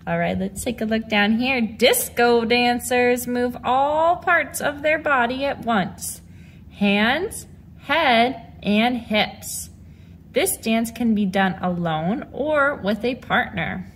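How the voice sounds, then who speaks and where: A woman in her thirties teaching a dance lesson to children, with an animated, encouraging, clearly enunciated delivery. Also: a little high, takes it slowly, slight background noise. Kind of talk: instructional video lesson for children. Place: large mirrored dance studio indoors.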